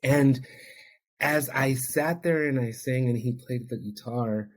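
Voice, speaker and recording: A man's voice: mid-range voice, slow. A man whose first language is Spanish, an addiction counselor telling his own story as a podcast guest in an earnest, heartfelt, reflective way. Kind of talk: podcast interview, personal storytelling.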